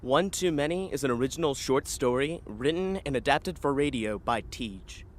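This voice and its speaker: A man's voice: medium pitch, expressive, moderate pace. A man reading scripted end credits for a radio story as its announcer.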